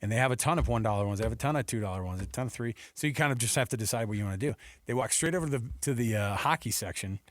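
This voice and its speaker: A man's voice: mid-range voice, animated, very fast, dry recording. A man hosting sports radio with casual, conversational and playful delivery on a close studio mic. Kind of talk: casual sports talk with anecdotes. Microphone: close studio radio microphone.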